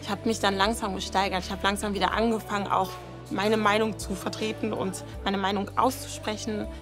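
A woman's voice: mid-range voice, fairly even intonation, brisk. A woman of twenty, a model contestant, speaking earnestly and openly about her life in a casting interview. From Germany. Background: music.

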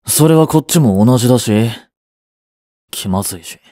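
Sulky young boyfriend played by a male actor: curt, skeptical, widely varied pitch, dry close sound, romance audio drama. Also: expressive, normal speed, dark tone, no room sound, close to an everyday voice. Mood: gloomy. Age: young adult. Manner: blunt, muttering, sighing.

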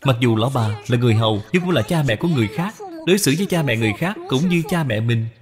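A man's voice: mid-range voice, lively intonation, very fast, in a large hall, natural voice. A man voicing a dutiful, kind-hearted little boy in a cartoon dub, polite and earnest.

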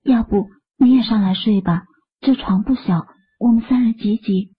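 A man voicing a doting young wife in a high falsetto, teasing and affectionate. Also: moderate pace, breathy edge, lo-fi sound. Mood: coaxing.

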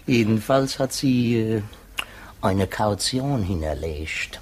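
An actor playing a good-natured, simple prison warder in a radio drama, talking in a halting, folksy, confiding way. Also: male voice, lowish voice, animated, darkish tone, slight background noise. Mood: sheepish, confiding.

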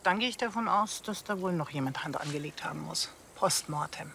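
An unimpressed forensic pathologist in a crime comedy. She is sarcastic and matter-of-fact. Her voice is bright and gravelly, with faint noise behind it. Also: deep voice, a little fast. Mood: clinical. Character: dry, sardonic female forensic pathologist, clinical and unimpressed.

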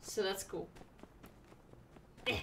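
A woman's voice: fairly bright tone, recorded from a distance, a little hiss or hum. A young woman streaming a video game, making blunt, sarcastic remarks as she plays. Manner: sarcastic, blunt, quick reactions. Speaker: gaming streamer. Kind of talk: casual let's-play commentary. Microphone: desk mic, webcam.

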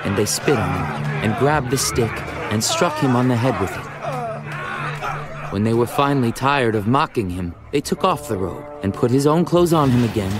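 A man narrating scripture from a script in a measured, dramatic storytelling manner. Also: medium pitch, expressive, a crowd in the background. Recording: music behind the voice.

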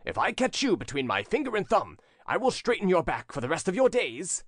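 A storybook narrator voicing a huge, irritable man who blusters, threatens and grumbles loudly. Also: medium pitch, sing-song, moderate pace, no echo, studio quality, pitched above the performer's own voice. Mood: angry, threatening. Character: blustering giant man, irritable crowd bully.

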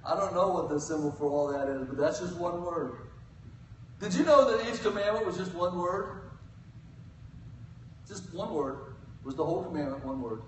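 An older man preaching a sermon from a church pulpit, swinging between loud cries and quiet asides. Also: a little high, lively intonation, moderate pace, pauses often, a little hiss or hum. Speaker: guest preacher. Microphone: pulpit mic, filmed from the pews. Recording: echoey church from far off.

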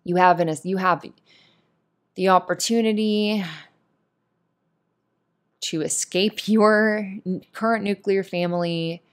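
A woman's voice: medium pitch, fairly even intonation, slow, long pauses. A woman giving casual, comforting advice in a monologue, speaking in an earnest, opinionated and emphatic way. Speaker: voice actor giving advice to a listener.

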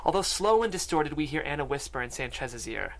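Man, slightly high pitch, script narrator, stage directions, table read, close studio mic. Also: big pitch swings, fairly quick, a bit bright. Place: small podcast studio. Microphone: close studio microphone.

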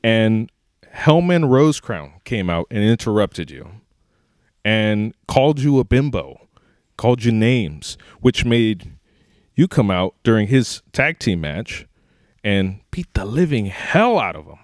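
A man's voice: lowish voice, very animated, slow, no room sound. Earnest male wrestling interviewer, dry sound. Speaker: interview show host. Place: indoor wrestling ring. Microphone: handheld microphone held close.

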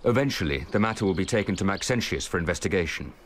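Young noble fighter, low voice, radio drama, measured and earnest, some background ambience. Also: male voice, lively intonation, a little hiss or hum. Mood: resolute, earnest. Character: resolute, shrewd young nobleman and champion fighter.

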